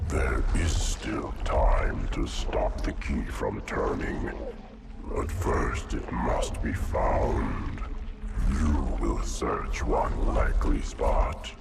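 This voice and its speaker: Casting: vast ancient game creature, guttural growl thick with gravel, ponderous prophetic oratory. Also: male voice, extremely low pitch, heavy background noise, heavily acted. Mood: grave, prophetic. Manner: slow, ominous, oratorical verse. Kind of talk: video game cutscene acting. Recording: loud music behind the voice.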